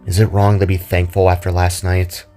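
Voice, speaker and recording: A man's voice: low voice, monotone, moderate pace, dark tone, voice pushed down, close to an everyday voice. Guarded female sci-fi warrior, played by a male narrator: terse, hesitant, troubled, faint music bed. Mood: tender.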